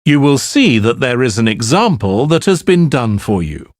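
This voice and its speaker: A man reading scripted test instructions as an exam announcer, in a formal and deliberate manner. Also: mid-range voice, big pitch swings, no echo, studio quality.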